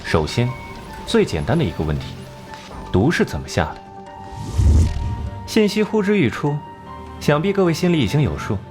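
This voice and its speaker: A man playing a composed, sharp-tongued genius detective in an animated crime drama, measured and condescending. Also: fairly high pitch, over music. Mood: coolly explaining.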